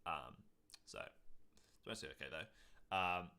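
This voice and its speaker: Bright, low-pitched man chatting casually. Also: takes it slowly, smooth. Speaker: podcast co-host. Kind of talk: casual chat.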